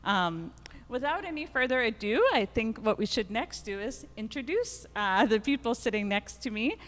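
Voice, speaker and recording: Woman panel moderator, loud voice, gallery reverb, lots of ums. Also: on the high side, very animated, moderate pace. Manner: loud voice, with many ums and uhs. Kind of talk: introducing a panel discussion. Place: art gallery. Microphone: handheld microphone.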